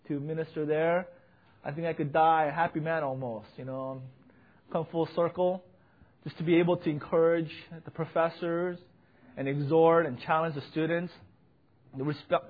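A young man, a pastor, preaching with earnest emphasis and a loud voice, swelling to fervent peaks. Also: on the high side, dull audio. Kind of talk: sermon with personal report.

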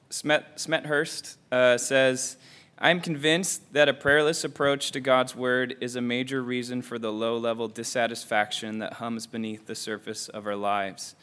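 A man with a voice gone raspy from being sick shares quotes from a chapter with his church group. He reads the passages out with a few ums between them. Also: medium pitch, fairly bright tone. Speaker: class member sharing a book chapter. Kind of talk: reading quotes with reflections.

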